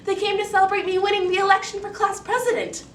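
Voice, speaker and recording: A woman's voice: extremely high pitch, little pitch movement, fast talker, fairly bright tone, voice pushed up. A woman playing a meek, earnest young schoolgirl who pleads timidly in a theatrical dramatic performance. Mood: frightened, pleading. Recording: echoey room, distant mic, clear noise.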